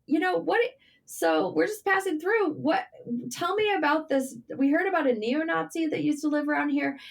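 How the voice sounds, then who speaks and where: Female podcast guest, higher-pitched voice, informal interview storytelling with an animated conversational delivery. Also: normal speed, creaky. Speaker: podcast guest, writer and journalist. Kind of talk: casual interview chat.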